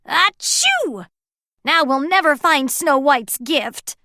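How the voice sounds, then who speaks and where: A woman voicing an earnest, excitable male dwarf in a storybook, exclaiming eagerly. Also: sits high, takes it slowly, no room sound, studio quality, pitched above the performer's own voice, full character voice. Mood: dismayed.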